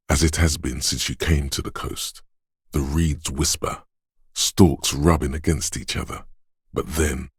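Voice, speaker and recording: A deep, husky inner sense. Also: male voice, dry recording, clean studio sound, full character voice. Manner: hushed, measured, quietly descriptive. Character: disembodied male inner sense of perception, observant. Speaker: voice actor. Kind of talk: game voice lines, second-person narration.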